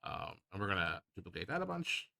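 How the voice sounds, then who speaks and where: A man hosting a casual software tutorial, talking in an improvised, rambling and hesitant way. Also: low-pitched, very animated, brisk, creaky, slight background noise. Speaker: tutorial host.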